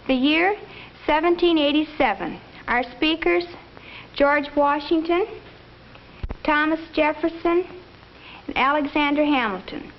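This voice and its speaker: A formal schoolgirl announcer presents a mock radio program in a 1950s classroom film. Her voice is high, and the old soundtrack is muffled with faint noise. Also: unhurried, long pauses.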